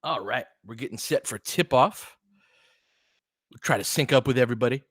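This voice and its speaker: A man's voice: mid-range voice, moderate pace, fairly bright tone, breathy edge, no room sound, studio quality. A man hosting a basketball stream, giving casual play-by-play mixed with chat in a conversational, slangy way with clipped phrases.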